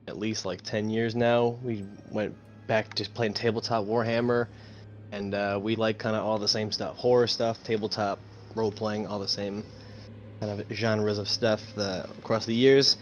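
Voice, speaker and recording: Male tabletop player, informal self-introduction, lowish pitch, faint noise on the recording. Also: fairly even intonation, measured pace. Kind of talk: casual chat introducing himself.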